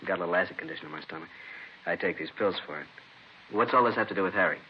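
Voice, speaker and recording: A man's voice: sits low, very fast, close to an everyday voice. An actor playing a stubbornly defensive middle-aged American father in a 1950s radio drama, curt and irritated. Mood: puzzled. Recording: dull, noisy vintage radio recording.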